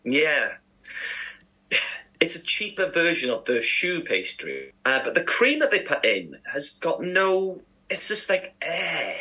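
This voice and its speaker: A man co-hosts a casual breakfast radio show. His voice has a bright, forward edge with a husky grain. He talks in a chatty, enthusiastic way. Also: fairly high pitch, big pitch swings, slow, low-quality recording. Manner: chatty, enthusiastic food talk.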